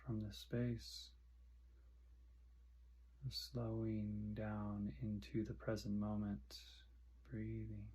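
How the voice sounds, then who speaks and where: A man guiding a meditation in short, soothing phrases with long pauses between them. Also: lowish voice, completely flat delivery, mellow, breathy edge. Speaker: meditation guide. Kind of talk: guided meditation instruction.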